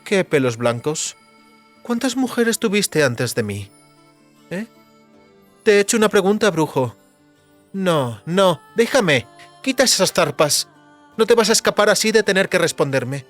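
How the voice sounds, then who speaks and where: An actress playing a haughty, teasing sorceress in an audiobook drama, speaking in an imperious, ornate, lecturing way. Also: female voice, lowish voice, big pitch swings, a little slow, pauses often. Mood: jealous, demanding. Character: haughty, teasing sorceress lover, woman, demanding compliments. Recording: music behind the voice.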